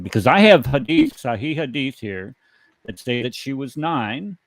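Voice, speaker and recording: A man's voice: mid-range voice, dry recording, rough recording. A man in his fifties hosting an online debate panel, pressing his opponent with pointed, challenging questions. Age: 50s.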